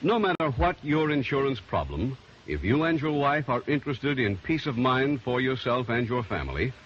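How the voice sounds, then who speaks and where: An American man delivering a polished, emphatic sponsor message in the style of old-time radio. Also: mid-range voice. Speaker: commercial announcer. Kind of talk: 1940s-50s radio sponsor pitch. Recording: muffled old radio recording, faint hiss.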